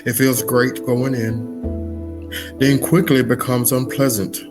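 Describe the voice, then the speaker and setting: A man putting on a solemn, grave narrator for a mock-dramatic comedy reading, speaking in a slow, deliberate way. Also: mid-range voice, level tone, over music, close to an everyday voice. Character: solemn dramatic narrator parodying a famous actor, recounting a mishap.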